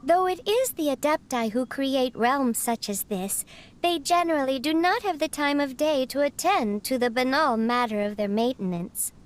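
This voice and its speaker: A woman's voice: sits high, normal speed, close to an everyday voice. A woman voicing a plump, bird-like female teapot spirit in a video game, formal and prim as a butler. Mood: courteous, instructive. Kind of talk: video game character dialogue. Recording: music under the voice.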